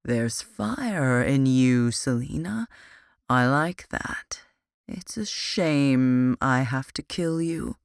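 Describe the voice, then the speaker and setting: A sadistic male demon torturer, as an audiobook narrator puts him on, dragging each word out slow and soft with cruel relish. The voice sits at a middling pitch, dry and close with no room around it. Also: expressive, gravelly, clear, resonant tone, no room sound, exaggerated voice. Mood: silkily menacing. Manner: slow, drawn-out menacing delivery. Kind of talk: audiobook character voice.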